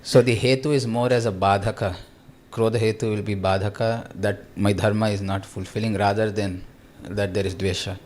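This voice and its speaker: A man's voice: on the low side, fairly even intonation, moderate pace, darkish tone, slight background noise. A man asking probing questions about scripture as a student, reasoning aloud tentatively.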